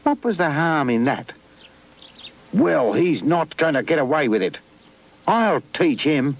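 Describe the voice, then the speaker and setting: Squeaky, indignant young puppet. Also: male voice, sing-song, unhurried, creaky, heavily acted. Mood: furious muttering. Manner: yelping, indignant. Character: young keeper of keys, indignant, excitable, sulky puppet. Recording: muffled old VHS tape, music underneath.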